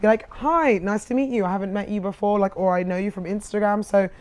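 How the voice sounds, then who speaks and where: Young female podcast co-host, croaky, warm low-edged tone, chatty and upbeat. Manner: bubbly, laughs often, fast restarts. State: croaky voice after a big event. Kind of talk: casual chat.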